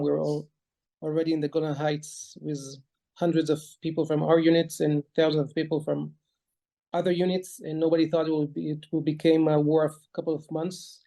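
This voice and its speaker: A man in his forties from Israel introducing himself as a reserve officer, measured, with fillers. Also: fairly high pitch, creaky, mellow. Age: 40s. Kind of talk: self-introduction in an interview.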